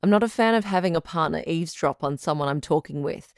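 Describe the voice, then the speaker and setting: A reassuring call-in show doctor, deep voice, frank but supportive, very dry close sound. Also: female voice, fast, dry recording. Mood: firm. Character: supportive, frank female doctor hosting radio relationship advice show. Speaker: radio call-in host. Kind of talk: audio drama acting as late-night radio advice.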